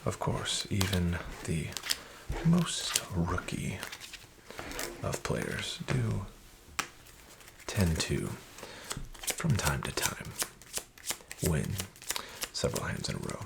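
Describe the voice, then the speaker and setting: A man voicing a sketchy, condescending casino dealer in a soft-spoken ASMR roleplay, half-whispering with false politeness. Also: low voice, wildly swooping pitch, very slow, vocal fry, long pauses, very noisy, full character voice. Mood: smarmy.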